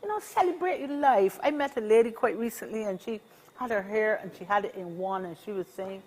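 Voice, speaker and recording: A woman's voice: medium pitch, brisk, a little mellow, a little hiss or hum. Barbadian woman in her sixties, encouraging interview guest, upbeat and repetitive. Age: 60s. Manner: upbeat, encouraging, repeats key phrases. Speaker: senior advocacy organization representative. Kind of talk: casual interview conversation.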